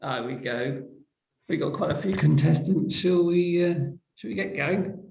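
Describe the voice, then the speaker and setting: Man quizmaster, high pitched, gravelly, echoey room, video call. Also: a little slow, darkish tone, muffled recording. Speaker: co-host running a quiz. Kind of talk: casual chat.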